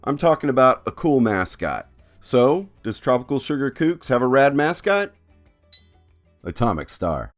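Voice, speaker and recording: A man's voice: mid-range voice, big pitch swings, a little slow, faint music behind. A man hosting an art video as an artist, with scripted but conversational narration. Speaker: host, artist.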